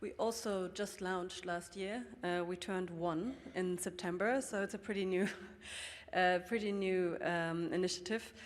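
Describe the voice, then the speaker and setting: A woman introducing herself on a conference panel in a steady, composed way. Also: lowish voice, a little slow, slight background noise. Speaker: panelist, fund co-founder. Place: large conference hall. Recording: long hall reverb.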